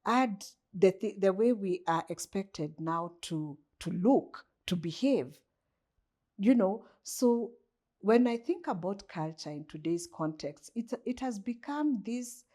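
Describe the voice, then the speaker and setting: A woman's voice: medium pitch, expressive, slow, dark tone. A Kenyan woman, a coach, talking thoughtfully in an interview and posing rhetorical questions to herself. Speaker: guest, coach. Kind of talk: reflective interview conversation.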